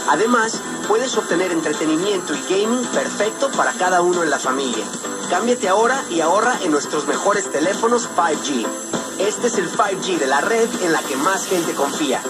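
A male announcer reads a scripted commercial. His voice is a little high and loud music plays behind it. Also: expressive, moderate pace. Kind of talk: scripted radio ad.

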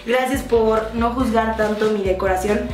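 Giggly young woman, high voice. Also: steady tone, normal speed, a little mellow. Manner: giggly, teasing, joking. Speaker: guest. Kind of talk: casual banter. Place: indoor studio kitchen set. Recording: echoey room with music behind.

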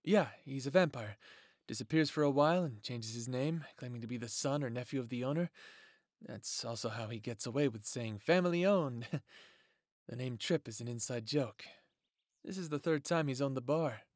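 A man's voice: mid-range voice, moderate pace, darkish tone, no echo, studio quality, natural voice. An actor playing a considerate, easygoing male werewolf in an audio drama, with a conversational delivery. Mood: amused, explaining.

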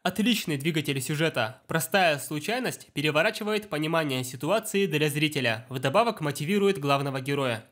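A man giving scripted voice-over commentary on an animated film, explaining scenes with enthusiasm. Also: a little high. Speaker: film review blogger.

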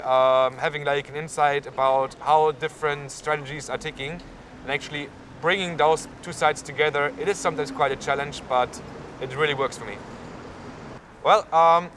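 Young man, German accent, slightly high voice, lots of ums, faint traffic behind, outdoor interview. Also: a bit bright. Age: young adult. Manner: lots of fillers, gestures while talking. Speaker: university student. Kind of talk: unscripted interview answers. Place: outdoors on a busy town square. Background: faint street and traffic noise.

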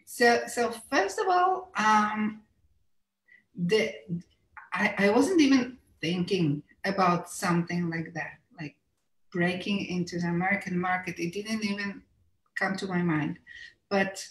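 A woman's voice: mid-range voice, a little slow. A middle-aged woman from Israel with an Israeli accent, a native Hebrew speaker, answering interview questions thoughtfully with many fillers and restarts over a video call from a room at home. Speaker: author and illustrator, interview guest. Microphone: video call, computer mic. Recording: roomy video call audio.

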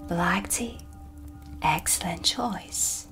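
A woman voicing a sly, composed dark witch in a soft, breathy, deliberate ASMR roleplay, close to the mic. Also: fairly low pitch. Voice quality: breathy, whispery. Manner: slow, deliberate, theatrical. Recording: music behind the voice. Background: ambient music.

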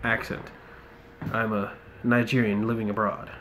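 A young man from the United States giving relaxed commentary while playing a mobile football game, muttering asides and ranting now and then. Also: on the low side, measured pace, slight background noise, low-quality recording. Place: indoor room.

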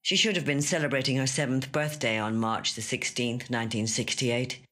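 A woman actor reads a literary short story in a dramatic, measured and deliberate narrating style, recorded in a studio. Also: very deep voice, fairly even intonation, a bit bright. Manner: measured, deliberate, repeating phrases for weight.

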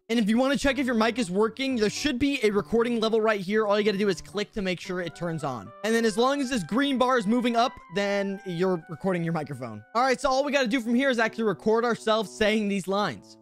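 A young adult man, an upbeat, chatty YouTuber presenting a casual tutorial into a close desk microphone. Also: high voice. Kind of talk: scripted-casual tutorial vlog. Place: bedroom. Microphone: close boom-arm desk microphone. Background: background music.

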